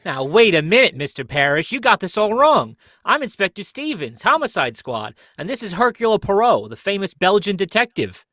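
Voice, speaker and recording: Man as a brisk, firm police inspector. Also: high-pitched, very animated, bright, forceful tone, no room sound, studio quality, full character voice. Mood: firm, urgent. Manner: firm, urgent, official. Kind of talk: old-time radio drama acting.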